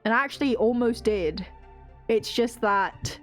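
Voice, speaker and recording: A woman's voice: on the high side, measured pace, faint music behind. A young British woman streamer, rambling and laughing through casual chat and swearing freely.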